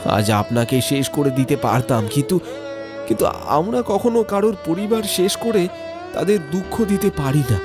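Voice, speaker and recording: A man acts the sorrowful ghost of a poor village man in a horror cartoon. The voice sits a bit high and pleads earnestly, protective and plaintive. Music plays clearly behind it. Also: expressive, normal speed, close to an everyday voice. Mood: sorrowful, grave. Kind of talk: dramatic cartoon voice-over.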